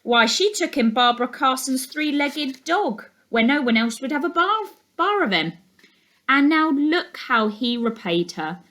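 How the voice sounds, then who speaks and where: A woman's voice: high voice, animated, measured pace, a bit bright, pitched above the performer's own voice. A woman voicing a gossipy, opinionated neighbour in a storybook, confiding and emphatic. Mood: gossipy, admiring. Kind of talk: storybook character monologue.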